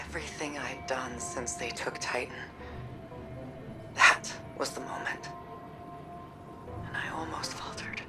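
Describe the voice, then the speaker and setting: A woman's voice: a bass voice, steady tone, takes it slowly, pauses often. An actress playing a battle-weary, resilient woman soldier in a video game, speaking plainly and reflectively with a wry edge. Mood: troubled, confessional. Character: battle-weary, resilient female soldier, tough but tender. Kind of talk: dramatic video game cutscene acting. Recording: music or faint ambient noise behind.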